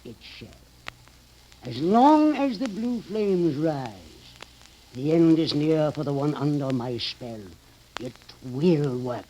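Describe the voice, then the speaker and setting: Slow, sinister radio professor. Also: male voice, a little high, lively intonation, warm tone. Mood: sinister, intent. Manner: slow, ominous, theatrical trance-like narrating. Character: sinister, proud male professor casting a deadly spell. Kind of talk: 1930s radio horror acting. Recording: noisy 1930s radio recording.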